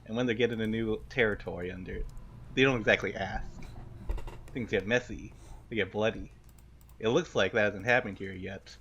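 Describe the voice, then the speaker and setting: Blunt tough-guy adventurer male player, tabletop game, heavy vocal fry, slightly dull recording. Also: lowish voice, steady tone, normal speed, pauses often, fairly bright tone, a little hiss or hum. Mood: cocky, persuasive. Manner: terse, streetwise, persuasive. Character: blunt, cocky tough-guy adventurer offering armed help. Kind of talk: improvised tabletop roleplay.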